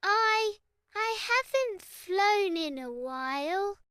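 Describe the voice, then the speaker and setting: A woman voicing a sweet, earnest young girl in a gentle children's bedtime story. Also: extremely high pitch, lively intonation, slow pace, no echo, clean studio sound, pitched above the performer's own voice, exaggerated voice. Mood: unsure.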